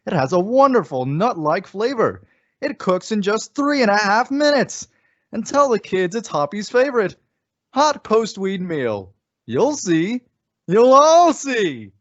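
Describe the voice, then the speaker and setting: A man's voice: high-pitched, big pitch swings, measured pace, no room sound. A man announcing and reading ads in a polished, emphatic old-time radio style. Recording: dull lo-fi radio sound, music behind.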